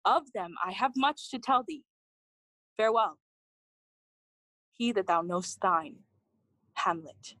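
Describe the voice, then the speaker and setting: Casting: loyal earnest young scholar, slightly high, dry studio sound, classical audio drama. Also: female voice, fairly bright tone, no echo, rough recording. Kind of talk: classical dramatic letter reading.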